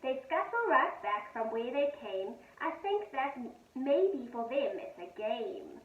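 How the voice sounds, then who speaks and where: A woman with a high voice reads children's verse aloud in a sing-song, teacherly way. Her pitch swoops expressively. The recording is roomy and echoing, as if she stands some way from the microphone. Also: sing-song, takes it slowly. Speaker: children's storytime host and teacher. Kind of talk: reading children's poems aloud. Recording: roomy, distant, dull-sounding microphone.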